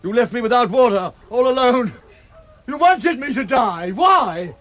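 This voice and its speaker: An actor playing an injured, abandoned husband in a radio drama, halting, pleading and gasping in pain. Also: male voice, very high voice, expressive, fairly bright tone, full character voice. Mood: pained, accusing.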